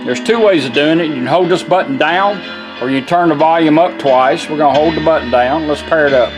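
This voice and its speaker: A man's voice: a little high, fairly quick, over music, low-quality recording. A man giving a casual, hands-on product demonstration in a folksy, plain-spoken way.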